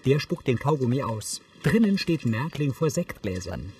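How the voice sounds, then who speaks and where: Factual male narrator, medium pitch. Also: big pitch swings, moderate pace, a little mellow, slight background noise. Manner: factual, concise. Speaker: audio description narrator. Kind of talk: scripted present-tense narration.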